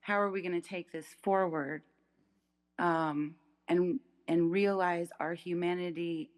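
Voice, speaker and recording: A woman from the United States giving an earnest, thoughtful comment as an audience member, unscripted. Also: on the low side. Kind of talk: spontaneous, reflective comment. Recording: reverberant hall sound.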